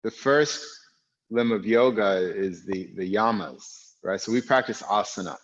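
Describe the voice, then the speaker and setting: Calm low-voiced male yoga teacher. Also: highs cut off. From United States. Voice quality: loud, strong voice. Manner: calm, reflective, speaks in unhurried phrases with pauses. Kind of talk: guiding an online yoga class. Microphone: recorded for an online class video.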